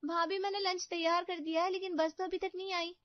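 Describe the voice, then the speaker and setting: A woman voicing a gentle, eager young sister-in-law in a cartoon. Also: very high voice, level tone, brisk, dry recording, voice pushed up. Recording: dull, muffled audio.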